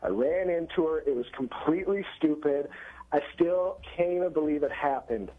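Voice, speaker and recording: A man on a phone call to a radio show, nervous and defensive, with a husky voice. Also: a little high, normal speed. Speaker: caller. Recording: tinny phone line with music.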